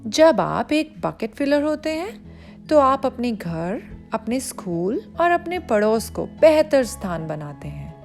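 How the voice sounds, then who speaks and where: A woman reads aloud for children in a high, very lively voice. She takes her time, explaining things directly to the young listener as a storyteller would. Music plays underneath her narration. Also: takes it slowly. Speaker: storyteller reading a children's picture book aloud.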